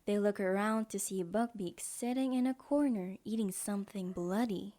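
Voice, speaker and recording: A woman's voice: medium pitch, moderate pace, warm tone. A woman narrating a scripted audio drama as its storyteller.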